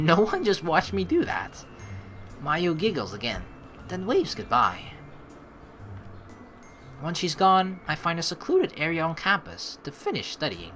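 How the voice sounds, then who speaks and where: A woman plays through a game aloud with a bright, forceful voice. Her pitch swings widely as she playfully narrates and rambles, with faint music underneath. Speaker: let's play host. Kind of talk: casual game playthrough commentary mixed with reading narration aloud. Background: game background music.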